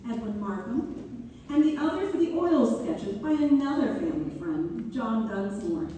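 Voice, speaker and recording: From some distance in a reverberant hall, an actress plays a gracious woman benefactor in a stage reading. Her voice is fairly high and warm, idealistic and sincere. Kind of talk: dramatic read-through on stage. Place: reverberant hall, community theater performance. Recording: echoey hall from far off, faint noise.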